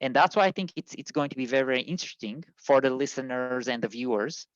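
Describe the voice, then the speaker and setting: A man from Israel, an immigration consultant, answering interview questions in a halting way with many fillers and restarts. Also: mid-range voice, dry recording, lo-fi sound.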